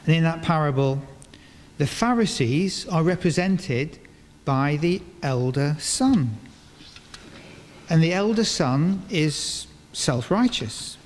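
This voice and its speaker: An older man giving a sermon in a large church, speaking into a stand microphone in measured phrases with deliberate pauses. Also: fairly high pitch, lively intonation. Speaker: preacher. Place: large stone church, indoors, before a congregation. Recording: reverberant church hall, clear background noise.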